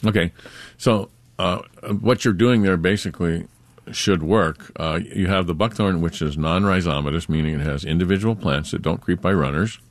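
A man's voice: deep voice, moderate pace, dry recording. A man co-hosting a gardening call-in show, asking the caller direct questions and giving advice. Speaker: gardening expert co-host.

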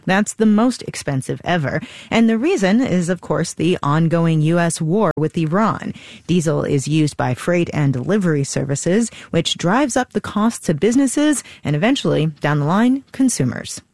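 A woman anchoring a radio business news show, reading the news and interviewing a guest live in a crisp newsreader manner. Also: lowish voice, normal speed, no echo, clean studio sound. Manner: crisp, lively newsreader delivery. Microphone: studio microphone.

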